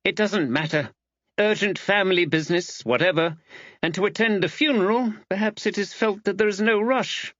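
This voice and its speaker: A man's voice: high voice, moderate pace, fairly bright tone, dry recording, pitched above the performer's own voice. A man voicing a chatty, sympathetic café woman who gossips in an emphatic, explanatory way in an audiobook, on slightly dull audio. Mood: resigned, knowing.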